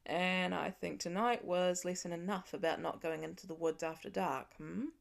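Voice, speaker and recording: A woman reading the part of a weary, gentle, protective father in an audiobook. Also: lowish voice, fairly even intonation, moderate pace, creaky, voice pushed down. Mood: dry, soft. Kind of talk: audiobook character voice in fan fiction.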